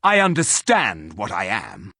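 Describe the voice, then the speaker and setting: A grim resurrected warrior, the proud hero of a fantasy game. His voice is lowish and forceful with a rough husk, and his delivery is formal, curt and sardonic. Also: wildly swooping pitch, unhurried, no room sound, clean studio sound, heavily acted.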